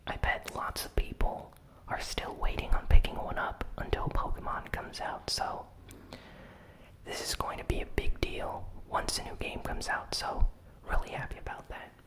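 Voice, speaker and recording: A host giving a whispered ASMR recap of gaming news, in a soft unvoiced whisper with relaxed, casual asides. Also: low-quality recording. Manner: unhurried, with frequent "you know" and "uh" fillers.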